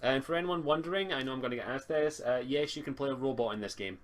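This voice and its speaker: A man's voice: mid-range voice, fast talker. A man acting as game master and host, casually explaining tabletop game rules. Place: online group game session, streamed. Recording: noticeable background noise.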